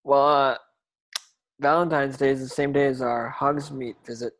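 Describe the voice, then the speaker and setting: A man's voice: medium pitch, fairly even intonation, moderate pace, fairly bright tone, close to an everyday voice. A man playing an earnest, unsure teenage schoolboy wizard in a script read, hesitant and questioning. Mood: shy, awkward.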